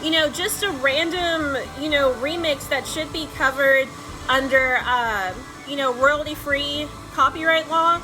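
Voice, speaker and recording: A woman's voice: sits high, measured pace, music in the background, rough recording. A woman hosting a video editing tutorial in a casual, chatty, informal way.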